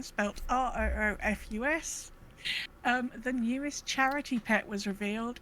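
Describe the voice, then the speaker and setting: A woman co-hosting a podcast in casual, halting talk, often rephrasing as she goes. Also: a little high, a bit bright, a little hiss or hum, rough recording. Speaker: podcast co-host. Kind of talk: casual chat and informal news round-up.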